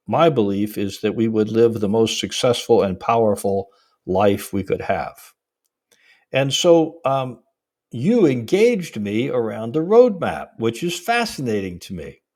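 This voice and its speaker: A man hosting an interview podcast, talking conversationally in a measured, deliberate manner. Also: mid-range voice, darkish tone. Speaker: podcast host, executive coach.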